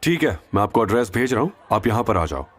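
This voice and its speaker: A man plays a decisive young businessman in an audio drama, close to his own natural voice. He speaks firmly and keeps his lines brief, with lively intonation. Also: lowish voice, fast. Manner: firm, brief.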